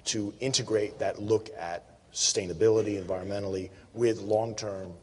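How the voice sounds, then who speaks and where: A man's voice: on the low side, fairly even intonation, moderate pace, darkish tone, a little hiss or hum. A man moderating a panel discussion. He is polite and directive, with some fillers. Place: conference hall. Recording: long hall reverb.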